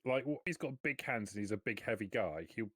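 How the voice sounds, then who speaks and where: A man's voice: lowish voice, lively intonation, a little fast, a bit bright, breathy edge, no echo. A man playing a tabletop roleplaying game, talking casually and improvising as he goes.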